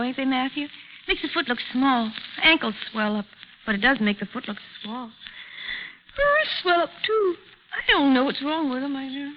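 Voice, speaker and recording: An actress playing a lonesome, restless, childlike young wife in an old radio drama, with folksy speech and cooing baby talk. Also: female voice, high voice. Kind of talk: 1940s radio drama acting. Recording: noisy, dull old radio recording.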